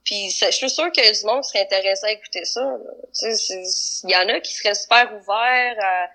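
Bright-voiced woman with a Québécois accent. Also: mid-range voice, fast talker, poor audio. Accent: Québécois French. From Quebec. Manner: loose and hesitant, many fillers. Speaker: band member, musician guest. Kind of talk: casual conversation on a call. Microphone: video call.

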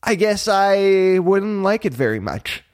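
A man's voice: high-pitched, huge pitch swings, normal speed, voice pushed up. A man voicing an eager, mischievous young puppet boy in a children's storybook. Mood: cheeky. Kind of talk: animated children's storybook character reading.

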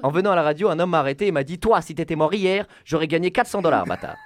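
A man delivering a comic radio column in a punchy, deadpan way. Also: a little high, rapid-fire, dry recording, studio quality.

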